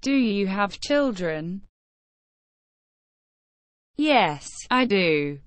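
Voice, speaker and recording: A woman reading short English phrases for learners, slow and careful, evenly spaced. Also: medium pitch, big pitch swings, no echo.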